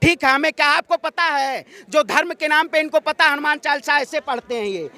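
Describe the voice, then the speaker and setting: Male activist, very high bright voice, combative street oratory. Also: a little fast, no room sound. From Ayodhya, north India. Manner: combative, declamatory, rhetorical questions. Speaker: protester, activist. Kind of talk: impassioned street interview, political speech. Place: outdoor street protest site.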